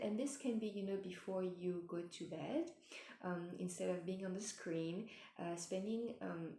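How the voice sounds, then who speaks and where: A woman giving gentle spiritual advice in a meandering way, with many fillers and restarts, and she is losing her voice. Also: lowish voice, creaky, roomy sound, a little hiss or hum. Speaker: spiritual mentor. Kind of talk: casual advice talk.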